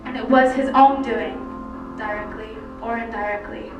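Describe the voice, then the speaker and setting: A woman from Cyprus recites spoken-word poetry in a fairly high voice, phrasing it deliberately with dramatic pauses. The sound is heavily echoing and picked up from some distance. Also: steady tone. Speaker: poet. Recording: very echoey, distant mic, loud music. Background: loud music.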